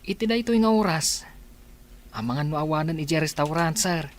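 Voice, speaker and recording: A woman's voice: low voice, expressive, fairly quick. A woman with an Ilocano accent playing a respectful staff member in a radio drama. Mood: deferential.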